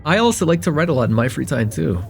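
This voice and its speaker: A man's voice: on the high side, sing-song, quick pace, creaky, faint music behind. A man playing a friendly, chatty young guy at a cafe in a casual, slightly hesitant conversational ASMR roleplay. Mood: friendly, curious.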